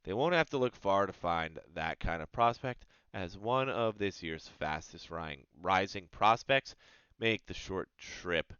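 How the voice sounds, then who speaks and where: A man hosting his own sports video, reading mock draft picks aloud and reacting to them in casual, animated commentary. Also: sits low, clean tone, dry recording, dull audio. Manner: animated, reads passages aloud then reacts with quick asides.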